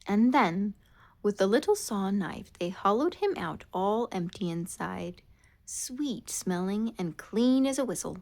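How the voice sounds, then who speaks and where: A woman reading a children's story aloud in a dramatic, expressive way, drawing out key words. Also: mid-range voice, a little slow, vocal fry. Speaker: storybook reader. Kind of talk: scripted children's storytime narration.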